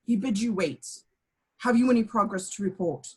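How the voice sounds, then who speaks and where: A woman's voice: mid-range voice, normal speed, husky. A woman playing a stern, haughty imperial court official in an amateur script reading, speaking formally and cautioningly. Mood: formal, warning. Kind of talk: amateur script table read.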